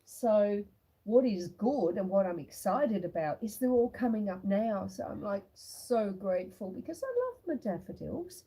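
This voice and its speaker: A woman's voice: mid-range voice, sing-song, measured pace, dark tone, husky, rough recording. A woman hosting a live stream outdoors on her homestead, chatting to viewers by name. She is still a bit snuffly with a cough from a long illness. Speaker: homestead livestream host. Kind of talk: casual live-stream chat. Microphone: phone on a tripod.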